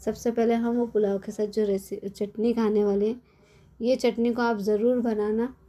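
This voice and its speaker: A middle-aged woman with a hoarse, cold-worn voice, chatting casually as she explains a home recipe step by step in her kitchen. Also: a little high, flat delivery, normal speed, warm tone. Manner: chatty, explains step by step with frequent tag questions. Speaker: cooking channel host. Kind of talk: casual cooking tutorial.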